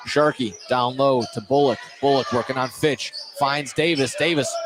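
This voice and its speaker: A man's voice: medium pitch, fairly even intonation, normal speed. A man doing live play-by-play basketball commentary for radio, repeating and correcting himself as he calls the action. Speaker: play-by-play sports announcer. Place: indoor basketball gym, courtside vantage point. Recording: clearly noisy gym ambience, bouncing balls. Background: gym noise and basketball bounces.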